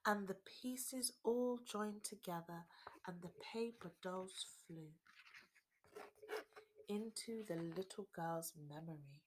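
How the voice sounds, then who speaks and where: A woman reading a children's storybook aloud in an expressive storytelling style. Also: lowish voice, poor audio. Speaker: storybook reader.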